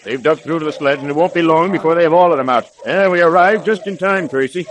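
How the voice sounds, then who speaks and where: An actor playing an earnest, hearty mounted police officer leading a rescue, in 1930s radio drama style. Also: male voice, fairly high pitch, fast talker, close to an everyday voice, rough recording. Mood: relieved, urgent. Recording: old 1930s radio recording.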